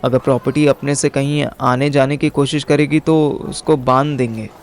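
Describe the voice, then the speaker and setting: A man's voice: mid-range voice, fairly even intonation, normal speed, slight background noise. A young man narrating an audio story in the first person in casual, slangy Hinglish, acting out his own dialogue. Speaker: narrator and lead character.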